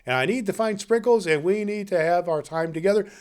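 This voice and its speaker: A man's voice: high voice, darkish tone, clean tone, lightly acted. Guy doing a kindly old santa, jolly and grandpa-like, folksy podcast host talking to kids. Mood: cheery. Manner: folksy, halting, addresses children. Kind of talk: story reading and chat to children.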